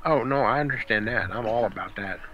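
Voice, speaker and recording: A man's voice: lowish voice, brisk, lo-fi sound, heavy background noise. A man hosting a casual chat with friends, playful and joking. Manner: playful, jokes, does silly voices.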